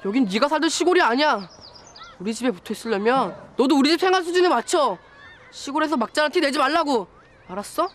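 A haughty well-off city schoolchild, high-voiced and quick. The delivery is scolding children's drama acting with faint noise behind it. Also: female voice, expressive. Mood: indignant, haughty.

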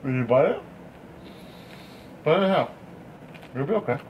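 A man's voice: mid-range voice, expressive, moderate pace, lo-fi sound, slight background noise. A man, chatting casually and swearing as he tastes candy on his vlog. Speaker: host. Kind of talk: casual candy-tasting chat. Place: studio room with equipment racks.

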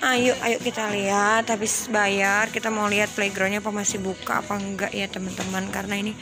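A woman's voice: medium pitch, level tone, fairly quick, rough recording. A woman in her thirties, a mother chatting to viewers and coaxing her kids while vlogging into a phone held out in a big indoor store. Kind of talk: casual family vlog. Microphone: phone held at arm's length. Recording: loud background music. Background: store music playing loudly.

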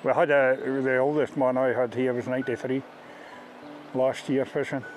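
A middle-aged man with a Scottish accent, working as a fishing ghillie, explains things informally in a halting, plain-spoken way. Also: medium pitch, level tone, rough recording. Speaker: fishing ghillie on a river beat. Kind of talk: informal interview-style explanation of a fishing beat. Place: outdoors on a riverbank. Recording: outdoor ambient noise behind voice.

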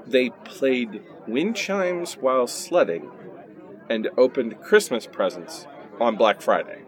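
Man playing a sarcastic, animated onlooker. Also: medium pitch, takes it slowly, some chatter behind. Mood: incredulous. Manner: snarky, incredulous. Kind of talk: satirical radio play acting.

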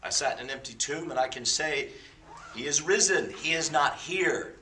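A man's voice: on the high side, normal speed, pushed and bright, distant mic, slight background noise. A man in his fifties leading a class with an informal sermon, mixing stories with jokey, self-deprecating asides. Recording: roomy sound from away from the mic.

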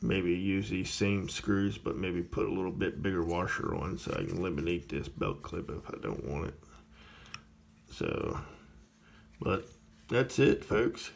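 A man's voice: low-pitched, very animated, measured pace, creaky, a little hiss or hum. A man casually demonstrating a small radio at a table indoors, plainspoken and rambling with many fillers. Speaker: radio reviewer. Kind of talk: casual product demo.

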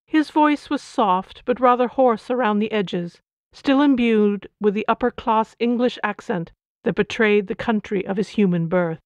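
A woman's voice: mid-range voice, a little slow, no echo. A woman reading her own novel as scripted, audiobook-style narration.

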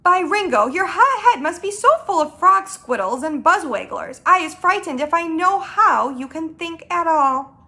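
An excitable storybook giant, as a woman reader puts him on, blustering in mangled grammar with big swooping pitch. The delivery is bright and forceful, stretching words for emphasis and bristling with indignation. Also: moderate pace, hardly any pauses, exaggerated voice. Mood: indignant.

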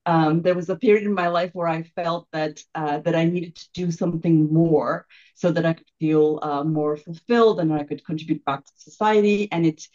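Female executive, Turkish accent, lowish pitch, informal self-introduction over slightly muffled, lo-fi video call audio. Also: steady tone, moderate pace, darkish tone. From Turkey. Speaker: incoming chief executive introducing herself. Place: online video meeting. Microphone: video call microphone.

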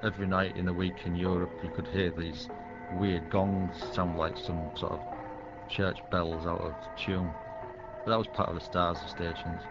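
A man's voice: low voice, level tone, normal speed. A man from England with an English accent, talking casually as an interviewed radio hobbyist, in muffled audio. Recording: muffled audio under loud music.

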